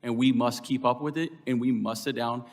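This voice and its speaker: A man's voice: medium pitch, steady tone, a little fast. An American man testifying as a union leader at a hearing, formal and emphatic, with oratorical repeated phrasing. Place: indoor committee hearing room.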